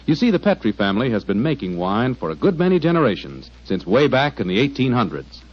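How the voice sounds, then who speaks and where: An American man announcing and pitching for a sponsor in a folksy, polished 1940s radio style. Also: very animated, fast. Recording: dull 1940s radio recording.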